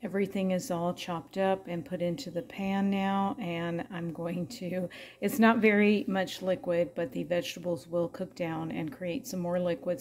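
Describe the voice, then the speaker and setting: A woman's voice: fairly low pitch, darkish tone. A middle-aged woman casually narrating her home cooking, chatty and homey. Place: home kitchen.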